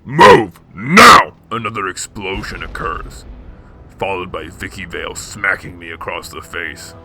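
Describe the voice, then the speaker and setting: A man acts out a grim male vigilante in a radio drama. His voice is low and gravelly, terse in delivery, over clearly audible music. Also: lively intonation, unhurried, bright, forceful tone, heavily acted. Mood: commanding. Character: grim male vigilante hero narrating his night's work. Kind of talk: radio drama narration and acting. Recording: music behind.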